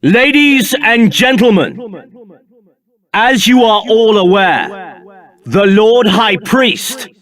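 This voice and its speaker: A man's voice: high voice, very animated, takes it slowly, long pauses, fairly bright tone, heavily acted. An actor playing a scheming, arrogant male templar captain in a video game, speaking in a haughty, condescending manner. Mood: grandiose.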